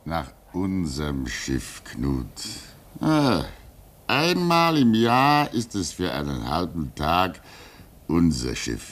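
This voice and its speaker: A man acting in a 1950s radio drama as an older, fatherly polar station worker who talks in a gentle, chuckling, soothing way. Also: low-pitched, huge pitch swings, slow, exaggerated voice.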